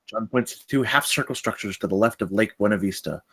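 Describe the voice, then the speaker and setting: A man's voice: lowish voice, fairly quick, a bit bright, slightly rough. A man reading stage directions as the narrator of a screenplay table read.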